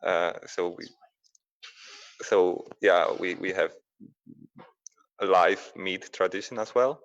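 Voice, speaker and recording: A man from Poland, a museum sailor answering live questions in a halting, plain-spoken way with many fillers. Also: low voice. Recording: muffled lo-fi stream audio.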